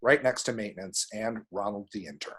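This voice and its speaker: Slightly husky man narrating a screenplay. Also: fairly low pitch, fairly quick, fairly bright tone. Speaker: reader of screenplay action descriptions. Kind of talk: scripted narration at a table read.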